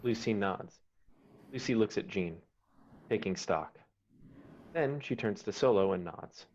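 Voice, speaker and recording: A man's voice: lowish voice, animated, unhurried, darkish tone, lo-fi sound. A man reading stage directions as scripted narration for a screenplay table read.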